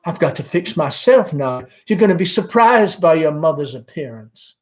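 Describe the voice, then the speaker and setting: A man's voice: a little high, lively intonation, moderate pace, lightly acted. A man playing a bossy, exasperated middle-aged mother who scolds and insists. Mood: brisk.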